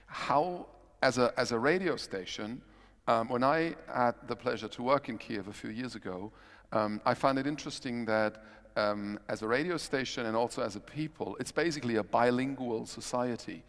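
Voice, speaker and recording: A man's voice: mid-range voice, normal speed, fairly bright tone. A man moderating a conference panel, conversational and asking long framing questions. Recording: long hall reverb, conference stage.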